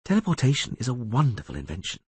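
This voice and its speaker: A man voicing a proud, quick-tongued sorceress in an audiobook reading, in a husky voice with a teasing, commanding manner. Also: mid-range voice, huge pitch swings, no room sound, pitched above the performer's own voice, exaggerated voice.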